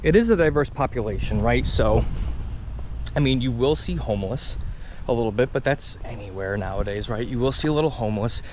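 A man's voice: mid-range voice, lively intonation, brisk, a little mellow. A man in his thirties giving a casual, chatty walking tour outdoors, filmed on a handheld camera at arm's length, with plenty of ums and you-knows. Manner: casual, chatty, many fillers. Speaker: travel vlogger. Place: outdoors on small-town streets. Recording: outdoor street noise behind.

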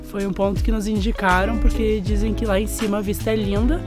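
A young woman in her twenties from southern Brazil with a southern Brazilian accent, casually narrating a road trip, a little hoarse. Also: medium pitch, a little fast, music in the background. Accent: southern Brazilian Portuguese. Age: 20s. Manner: friendly, relaxed storytelling with light laughs. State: slightly hoarse after a party. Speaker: travel vlogger. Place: outdoors, garden and hilltop trails.

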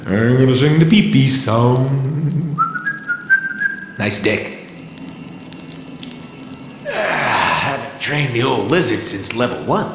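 An actor playing a wisecracking, juvenile, lecherous mercenary antihero in a video game, theatrical and jokey. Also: male voice, medium pitch, lively intonation, slow pace, pauses often, background noise. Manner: theatrical, jokey, sing-song at times. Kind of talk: exaggerated comic video game acting. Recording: echoey reverb, loud music behind.

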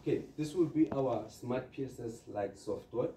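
A man leads an informal product training session in an explanatory way. His voice is very dark and sits back from the microphone in a reverberant room. There is faint noise behind him as he talks through practical examples. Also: mid-range voice, normal speed. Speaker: trainer presenting security products to installers. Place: indoor presentation room with large screen. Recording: dark, echoey, distant room recording with noise.

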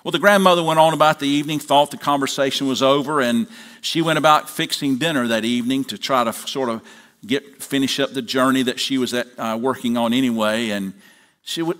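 A man in his fifties with an American accent, preaching as a pastor in a deliberate, halting way with pauses. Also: mid-range voice, a bit bright. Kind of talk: sermon with prayer and storytelling. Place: large church auditorium, on stage at a lectern.

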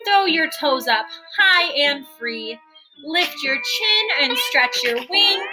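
A young woman giving an expressive, sing-song read-aloud of a children's storybook, indoors at a computer. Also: sits high, takes it slowly, over music, poor audio. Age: young adult. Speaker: storybook reader.

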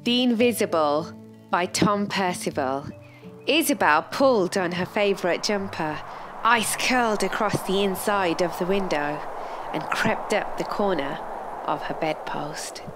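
A young woman, a teacher, reading a picture book to children in expressive, deliberate storytelling narration. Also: on the low side. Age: young adult. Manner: measured, deliberate storytelling delivery with pauses. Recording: music playing behind the voice. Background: clear background music.

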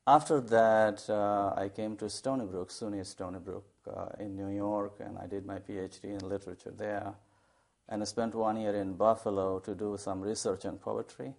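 Older guy from bangladesh, low voice, takes his time with lots of um and uh, lapel mic in a tv studio. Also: little pitch movement, creaky, a little mellow. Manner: measured, thoughtful, with many fillers. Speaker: English professor, poet. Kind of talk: studio interview.

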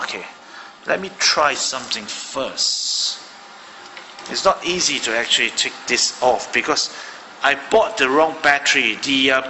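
A man's voice: medium pitch, sharp tone, a little hiss or hum. A man in his thirties from Malaysia, chatting casually through a gadget review at his desk and thinking aloud with fillers, filmed on a handheld phone. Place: indoors at a home desk. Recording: roomy with long reverb, music behind.

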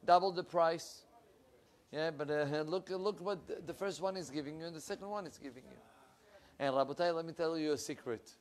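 A young man, a rabbi giving an animated Torah class, his voice swinging between loud bursts and low asides. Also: fairly quick. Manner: animated, with big swings in pitch and loudness and lively hand gestures. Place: wood-panelled room with bookshelves. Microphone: lapel.

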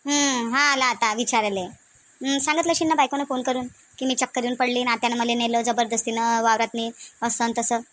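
A woman's voice: on the high side, steady tone, fairly quick, natural voice. A woman voicing a proud, scolding middle-aged mother-in-law in a village cartoon, nagging and sarcastic, with a Varhadi Marathi accent from Vidarbha. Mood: dismissive. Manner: sharp-tongued, nagging, sarcastic. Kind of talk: comic village family cartoon dialogue.

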